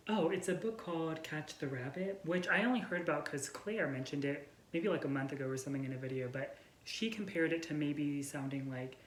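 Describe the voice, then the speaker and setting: A man's voice: fairly high pitch, brisk, hardly any pauses. A man co-hosting a casual book chat with a friend, speaking informally and peppering sentences with "like". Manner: informal, filler-heavy with frequent "like". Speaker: book vlogger and co-host. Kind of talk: casual chat between friends about books. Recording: echoey room with faint noise.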